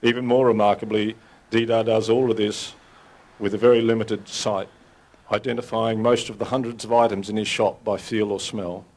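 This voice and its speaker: A man's voice: lowish voice, little pitch movement, normal speed, a little mellow. A man acting as master of ceremonies, formally introducing a guest speaker at a ceremony.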